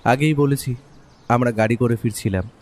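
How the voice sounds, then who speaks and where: A man's voice: mid-range voice, normal speed, a little mellow. A man narrating a detective story in a dramatic, scripted first-person style.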